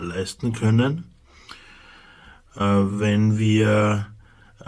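Man, Austrian accent, low voice, careful and methodical, interview answer, charity spokesperson. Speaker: charity representative being interviewed.

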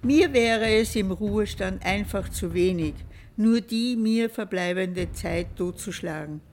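Woman, 79, medium-pitched voice, personal testimonial with faint noise. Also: unhurried, darkish tone.

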